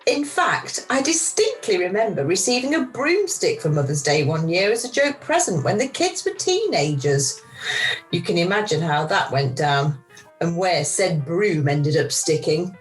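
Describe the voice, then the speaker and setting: A middle-aged mother played by a woman confides in a radio play with a slightly low voice. She is weary and emotional with a wry streak. It is an amateur video-call recording, with faint music behind her. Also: sing-song, measured pace, rough recording. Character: Frankie, weary emotional mother of grown children, wryly confiding.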